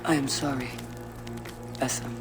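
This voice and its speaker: A man's voice: medium pitch, fairly even intonation, unhurried, over music, lightly acted. A man playing a resolute, earnest young Viking leader in a video game. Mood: sympathetic. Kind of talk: cinematic video game dialogue acting.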